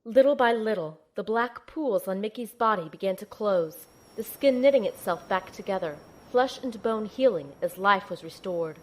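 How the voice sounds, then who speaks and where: Young heroine played by a woman narrating audio drama, earnest and determined, medium pitch, measured storytelling. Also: lively intonation, lightly acted, low-quality recording. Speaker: narrator and lead character. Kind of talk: scripted first-person audio drama narration.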